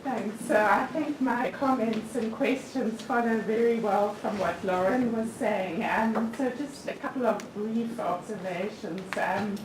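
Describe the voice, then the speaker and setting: A woman speaks at a conference discussion in a mid-pitched voice, choosing her words carefully. She sounds distant from the mic, with plenty of room echo and clear noise behind her. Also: measured pace, few pauses. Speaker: panel participant. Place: conference hall. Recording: echoey hall, distant mic, noisy.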